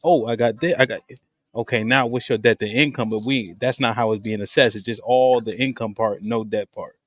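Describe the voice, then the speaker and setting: A man's voice: medium pitch, steady tone, brisk, dry recording. A man hosting a casual group conversation, cutting in to steer it and make his point. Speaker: host.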